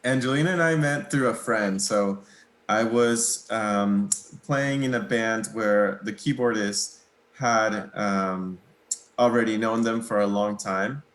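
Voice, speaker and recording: A young man from Mexico whose first language is Spanish, answering interview questions in a relaxed, hesitant way with many pauses and small laughs. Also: lowish voice, reverberant. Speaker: guitarist, interview guest.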